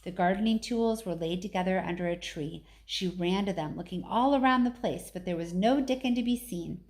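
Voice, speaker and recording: A woman reading a storybook aloud in expressive narration. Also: lowish voice, normal speed. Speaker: story reader.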